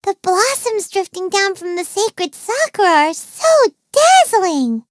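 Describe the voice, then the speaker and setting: Tiny, very high squeaky fairy companion. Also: female voice, big pitch swings, unhurried, very noisy, heavily acted. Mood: chatty, curious. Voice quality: squeaky, childlike. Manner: cutesy, refers to self in third person. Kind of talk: video game voice acting.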